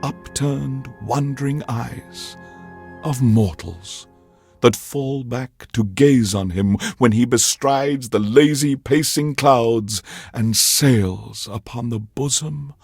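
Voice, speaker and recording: An actor playing a pompous, erudite alien overlord who hosts a game radio show, reciting in grandiose, carefully enunciated theatrical style. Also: male voice, mid-range voice, slow, vocal fry, a little mellow, husky, no room sound, soft background music, full character voice. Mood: lovestruck, reverent. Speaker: radio DJ host.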